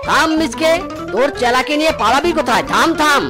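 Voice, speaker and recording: Cartoon policeman: domineering, commanding, high-pitched with big swings, music behind. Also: lively intonation, brisk. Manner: commanding, repeating orders. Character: officious male police officer, barking and domineering. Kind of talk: cartoon voice acting. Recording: music under the voice.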